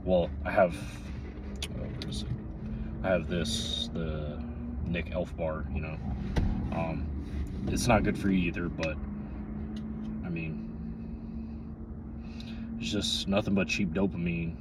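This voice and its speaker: Young guy early 20s, really low voice, rambling confessional vlog, recorded in a car w noticeable background noise. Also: fairly even intonation, slow, pauses often. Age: 20s, twenty-three. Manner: rambling, candid, frequent "you know". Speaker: vlogger. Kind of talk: casual confessional monologue. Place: inside a parked or running car. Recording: noisy, vehicle rumble inside car.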